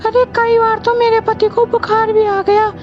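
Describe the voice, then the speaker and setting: A woman's voice: very high voice, level tone, a little slow, highs cut off. A woman playing a poor, devoted, plaintive village wife in cartoon story dialogue. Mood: distressed, pleading.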